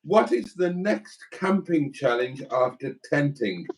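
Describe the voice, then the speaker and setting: A man's voice: on the high side, expressive, slow, recorded from a distance, poor audio. A man from Wales co-hosting a live stream, chatting casually and reading viewer comments aloud with rambling asides.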